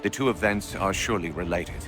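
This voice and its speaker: Man playing a serious army commander, lowish voice, formal and measured, loud game music behind. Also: lightly acted. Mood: grave, wary. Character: grave, courteous male military commander. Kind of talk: dramatic video game acting.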